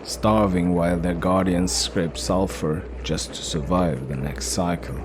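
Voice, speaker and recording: Man acting a deep-voiced, wry relic hunter. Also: steady tone, unhurried, noisy background. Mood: earnest conviction. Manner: muttering, absent, earnest. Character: wry male relic hunter, reckless yet principled. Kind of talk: dark fantasy audio drama character acting.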